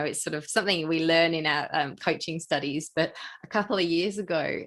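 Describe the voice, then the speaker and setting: A middle-aged British woman hosting a podcast interview, talking quickly and energetically and laughing often. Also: lowish voice. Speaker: podcast host. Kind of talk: conversational interview.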